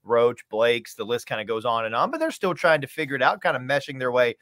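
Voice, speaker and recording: Male sports guest, thirties, conversational and emphatic, medium pitch, dry video-call sound. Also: animated, fairly quick, fairly bright tone, dry recording. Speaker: sports play-by-play announcer, podcast guest. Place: small home room, video call.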